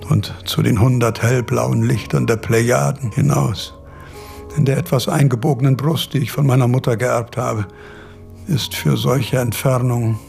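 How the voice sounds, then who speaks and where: An actor playing a pensive, scholarly eighteenth-century male philosopher in a radio drama, speaking in a deliberate, formal, learned manner. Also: mid-range voice, gravelly, a little mellow. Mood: reflective. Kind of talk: radio drama monologue and lecturing.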